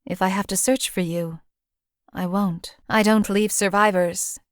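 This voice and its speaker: A woman's voice: medium pitch, steady tone, a little slow, no echo, natural voice. A woman playing a composed female assassin for hire in a video game, speaking in a dry, matter-of-fact way. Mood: cold, menacing. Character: cool, lethal female assassin companion for hire. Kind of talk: video game companion voice lines.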